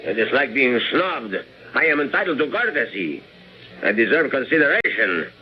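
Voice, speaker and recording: An actor playing a vain, petulant, domineering dictator in old-time radio drama, pompous and self-pitying. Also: male voice, mid-range voice, sing-song, normal speed, lightly acted. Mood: aggrieved, bullying. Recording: muffled, noisy old radio recording.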